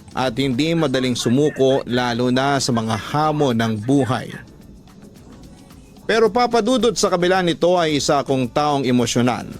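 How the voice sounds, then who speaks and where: A man with a Filipino accent narrating a scripted story as a radio drama host, in an emotive, deliberate storytelling delivery. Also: on the high side. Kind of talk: scripted dramatic narration of letter-sender stories. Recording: voice over background music. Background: music.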